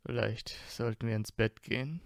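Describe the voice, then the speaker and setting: A man's voice: mid-range voice, normal speed, creaky, clean tone, dry recording, studio quality, lightly acted. A man acting a teasing, affectionate teenage wizard boy in a fan audio drama, with a playful drawl. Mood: sleepy, tender.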